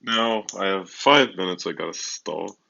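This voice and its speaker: A teenage guy with a notably low, forceful voice hosts a livestream. His tone is edged with vocal fry, and he talks casually and off the cuff, filling time between announcements. The sound is slightly dull and lo-fi. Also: expressive, unhurried. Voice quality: deep voice. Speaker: livestream host of an online racing league draft. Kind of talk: casual livestream chat with announcer-like list reading. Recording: dull, lo-fi microphone.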